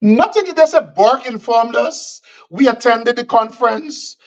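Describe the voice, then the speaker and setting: A man's voice: very high-pitched, measured pace, lo-fi sound. A man from Guyana, delivering combative political commentary and repeating phrases for emphasis.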